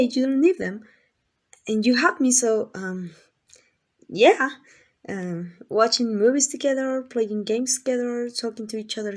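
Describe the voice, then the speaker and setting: A woman playing a lovesick, possessive female kidnapper in an intimate ASMR roleplay, doting and rambling in a flustered, coaxing way. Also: fairly high pitch. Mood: flustered, reassuring. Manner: coaxing, rambling, hesitant asides.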